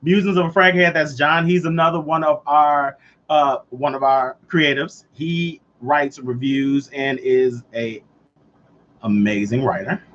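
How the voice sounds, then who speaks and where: A man's voice: medium pitch, steady tone, measured pace, fairly bright tone. A man in his late thirties hosting a casual live chat, talking loosely and answering viewers as he goes. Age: 30s. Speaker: livestream host. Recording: dull lo-fi livestream audio.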